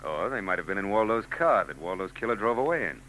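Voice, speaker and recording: An actor playing a world-weary private eye with a laconic, hardboiled delivery, in 1940s radio-drama style. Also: male voice, lowish voice, sing-song, quick pace, fairly bright tone, slight background noise. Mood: wry.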